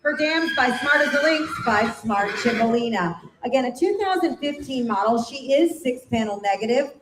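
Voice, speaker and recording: A woman announcing horses at a sale, reading pedigrees and details in a brisk, matter-of-fact way. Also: a little high, expressive. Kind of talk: reading lot descriptions. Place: indoor sale barn. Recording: echoey barn with crowd noise.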